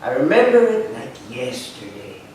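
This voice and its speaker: Man playing an animated old grandfather, echoey. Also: fairly high pitch, normal speed. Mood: reminiscing. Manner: storytelling, sprinkled with Spanish. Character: fond, animated old Mexican immigrant grandfather. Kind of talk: staged reading of a play. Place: arts center stage, live performance. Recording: echoey hall, distant mic, faint noise.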